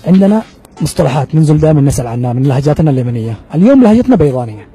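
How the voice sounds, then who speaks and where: A man's voice: a little high, sing-song, rapid-fire, warm tone, husky, faint music behind, a faint crowd. A man with a Yemeni accent hosting a street quiz, coaxing people with teasing and laughter. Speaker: street interviewer, show host.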